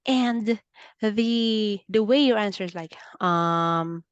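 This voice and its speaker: A woman with a Filipino accent gives a one-on-one English lesson by video call. She explains things patiently and fills her pauses with "okay." Her voice sits in a middle range and sounds dry and close to the mic. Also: medium pitch, smooth, no room sound, lo-fi sound. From Philippines. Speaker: English tutor. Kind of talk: online one-on-one speaking lesson.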